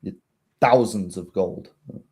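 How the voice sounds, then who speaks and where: A man's voice: sits low, a little slow, darkish tone. A man narrating a tabletop fantasy game as game master, in descriptive storytelling.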